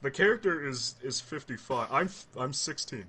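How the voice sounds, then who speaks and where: A man from Atlantic Canada with a deep voice, chatting as a podcast guest in a dry, deadpan way. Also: normal speed, over a noisy crowd. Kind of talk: casual podcast chat. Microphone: computer microphone.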